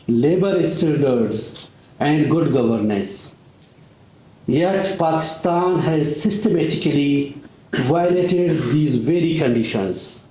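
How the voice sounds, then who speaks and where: A man giving a formal prepared speech at a conference, measured and deliberate, pausing often. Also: fairly high pitch, warm tone. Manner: measured, deliberate delivery with long pauses. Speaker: Baloch activist speaker. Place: conference room in parliament. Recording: echoey conference room.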